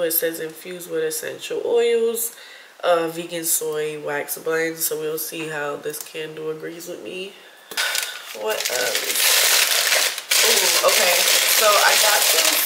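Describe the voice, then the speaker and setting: A woman's voice: fairly low pitch, echoey room, background noise. A woman of about thirty with a stuffy nose, chatting casually to viewers about a shopping haul in her apartment. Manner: chatty, addresses viewers as y'all. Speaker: vlogger.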